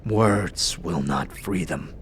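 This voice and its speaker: Man acting a slow, low, solemn enslaved warrior. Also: a little hiss or hum. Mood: pained, resigned. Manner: solemn, formal, halting delivery. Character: grave, sorrowful warrior bound in honour-bound servitude. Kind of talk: fantasy audio drama acting.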